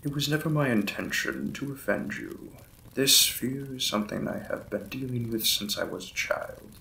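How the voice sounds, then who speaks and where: A man playing a gentle, apologetic Victorian vampire lord in a romantic audio roleplay, speaking formally and tenderly as a courteous new husband. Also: mid-range voice, expressive, moderate pace, gravelly, a little hiss or hum, poor audio. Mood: formal, contrite.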